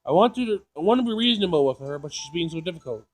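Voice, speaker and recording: A man's voice: fairly high pitch, lively intonation, rapid-fire, husky, faint music behind. A man doing a casual let's play, reading game text aloud and reacting in an informal, sassy way. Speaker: gaming video player.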